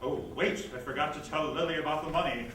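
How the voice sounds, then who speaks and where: A man's voice: fairly high pitch, quick pace, a bit bright, natural voice. A man playing a pompous, scheming theatre producer in a screwball comedy reading, grandiose and theatrical in manner. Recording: very echoey hall, far microphone, noise.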